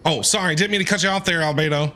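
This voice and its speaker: A man's voice: fairly high pitch, very fast, bright, forceful tone, a little hiss or hum. A man giving casual, playful live commentary as he streams a video game, reacting expressively.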